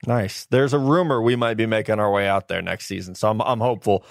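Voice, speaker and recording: A man hosting a podcast interview, talking in a relaxed, chatty way with quick asides. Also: on the low side, creaky, no echo, studio quality. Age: adult, older than a college player. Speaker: podcast host. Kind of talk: casual interview chat.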